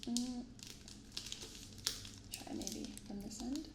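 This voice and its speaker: Female ASMR host, gentle and casual, gravelly fry, roomy binaural recording with audible noise. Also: on the high side. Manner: soft, gentle, unhurried delivery. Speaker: host of a card-opening ASMR channel. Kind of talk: soft-spoken ASMR, casual commentary. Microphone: binaural microphone. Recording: roomy echo, clearly audible background noise.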